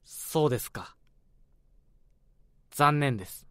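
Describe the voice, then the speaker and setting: A man's voice: medium pitch, lively intonation, takes it slowly, smooth, dry recording, clean studio sound. A man playing a cold, sullen young junior employee who harbors murderous intent in a radio drama. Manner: cold, sullen, quietly defiant.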